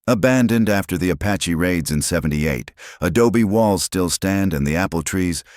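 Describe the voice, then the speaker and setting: A man voicing a gruff, steady middle-aged frontier marshal in a measured, low drawl. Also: no echo, studio quality.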